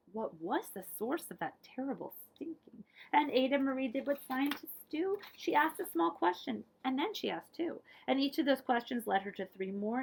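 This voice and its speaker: Animated high-voiced woman reading a storybook. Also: vocal fry, husky, rough recording. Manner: expressive, dramatic emphasis, drops to whispers. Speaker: librarian reading a picture book aloud to children. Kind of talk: animated storybook read-aloud.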